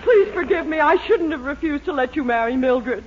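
A woman's voice: high voice, lively intonation, moderate pace. An actress playing a domineering, dramatic middle-aged mother in a 1940s radio sitcom. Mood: frightened, pleading. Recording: noisy, muffled 1940s radio recording.

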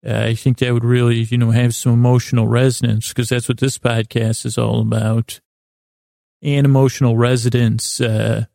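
A man hosting a sleep podcast, rambling through a whimsical bedtime monologue in a halting way, often repeating himself and talking to himself. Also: mid-range voice, creaky, mellow, no room sound, clean studio sound. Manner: halting, meandering, repeats and trails off; whimsical, talks to himself in imagined dialogue. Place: inside a small closet.

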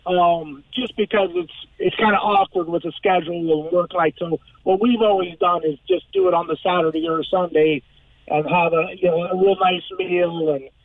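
A man with a high voice chats as a radio guest in a relaxed, hesitant way, with plenty of fillers. His voice comes through thin and tinny, as if over a phone line. The sound is dry. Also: normal speed, dry recording. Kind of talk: casual radio interview.

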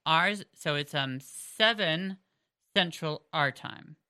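A woman's voice: deep voice, takes it slowly, pushed and bright, clean tone, no room sound, studio quality. A woman, co-hosting a podcast in a chatty, rambling way with fillers and restarts. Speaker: podcast co-host. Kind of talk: casual podcast chat. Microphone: headphones on, close mic.

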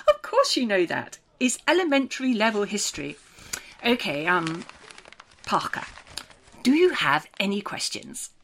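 An actress playing a probing official in a dystopian audio drama, reassuring, procedural and a little patronising. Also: female voice, medium pitch, sing-song, unhurried, pauses often, fairly bright tone, slight background noise. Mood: softly probing. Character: soothing, probing woman official of a dystopian programme. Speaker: interviewer. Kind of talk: audio drama dialogue, clinical interview.

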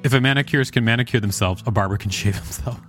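A man with a mid-pitched voice speaks as a podcast co-host. His delivery is conversational and wry, moving between read-aloud text and casual banter. Music plays behind him. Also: quick pace, fairly bright tone. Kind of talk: reading an encyclopedia article aloud with casual banter.

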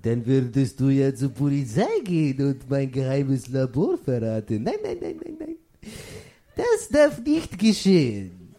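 A man's voice: medium pitch, big pitch swings, unhurried, mellow. A man playing a gleefully evil, easily irritated mad scientist who cackles and repeats himself in a comic radio play. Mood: menacing glee. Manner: stammering repeats, cackling, theatrical outbursts.

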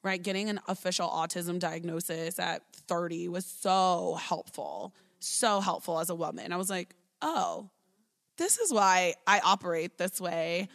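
Woman in her 30s, low-ish voice, earnest and chatty, keeps saying like and right. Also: lively intonation, measured pace, vocal fry, fairly bright tone. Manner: earnest, talky, full of "like" and "right?". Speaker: early childhood educator. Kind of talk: personal storytelling in an interview.

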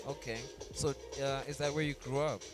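A man's voice: mid-range voice, steady tone, brisk, over music. A man hosting a casual interview in an informal, slangy way, probing with follow-up questions. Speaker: podcast host, interviewer.

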